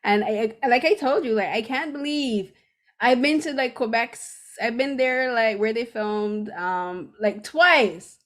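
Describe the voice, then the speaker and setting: A woman from Barbados, hosting a casual podcast chat and talking in an animated, rambling way full of fillers and restarts. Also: fairly high pitch, unhurried. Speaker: podcast host. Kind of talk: casual chat about a TV drama.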